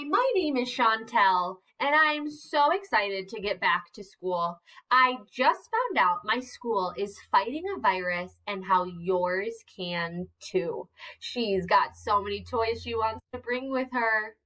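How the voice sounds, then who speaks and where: Teacher lady reading a kids book out loud, slow and kinda high, a bit far off the mic. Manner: encouraging, asks the children questions and prompts gestures. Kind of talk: reading a picture book aloud to young children.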